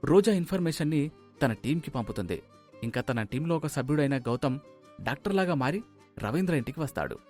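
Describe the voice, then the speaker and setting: A man's voice: on the high side, lively intonation, a little fast, darkish tone, soft background music. A man reading scripted story narration as a storyteller.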